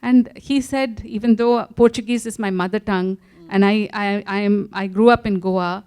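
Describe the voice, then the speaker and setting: A woman, an author answering questions in a relaxed conversation, often restarting her sentences. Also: mid-range voice, moderate pace, clear, resonant tone. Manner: animated, many restarts and fillers. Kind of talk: conversational interview.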